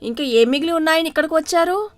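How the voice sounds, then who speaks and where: Two-faced young housewife played by an actress, higher voice, pointed and grasping, for a moral-story cartoon. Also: female voice, fast talker, dry recording, natural voice. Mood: indignant, greedy. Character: two-faced, greedy young daughter-in-law.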